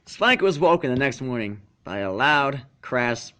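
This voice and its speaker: A man's voice: mid-range voice, sing-song, measured pace, bright, forceful tone. A man narrating fan fiction as an amateur reader and channel host, now and then tripping over words and correcting himself. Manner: stumbles over lines and self-corrects. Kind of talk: amateur fan fiction reading with casual asides.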